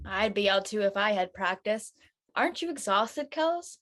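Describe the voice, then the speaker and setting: A woman acting a friendly, anxious and studious high school girl in a casual dramatic read. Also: lively intonation, moderate pace, fairly bright tone, close to an everyday voice. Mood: concerned. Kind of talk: online dramatic table read.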